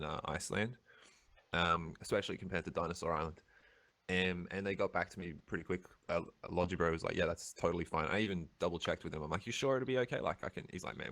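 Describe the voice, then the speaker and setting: A man chatting casually as a guest on an online show, rambling with many pauses and restarts. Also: deep voice, fairly bright tone. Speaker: guest streamer. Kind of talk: casual chat.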